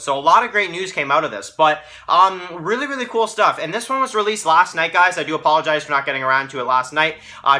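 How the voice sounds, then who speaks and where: A man's voice: on the high side, sharp tone. A man hosting a casual review video, talking to fans in a rambling way and often repeating himself. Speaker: host of a TV review channel.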